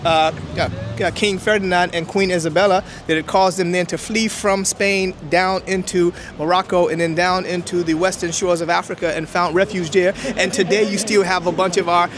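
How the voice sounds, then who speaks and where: A man's voice: sits high, fairly even intonation, moderate pace, hardly any pauses, a crowd in the background. A man whose first language is Hebrew, explaining history emphatically in an interview outdoors. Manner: emphatic, lecturing, with many "right?" checks. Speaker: interview guest.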